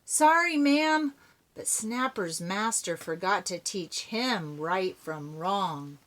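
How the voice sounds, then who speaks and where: A woman's voice: mid-range voice, animated, slow pace, fairly bright tone. A woman voicing an earnest, eager pet dog in a children's storybook. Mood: apologetic.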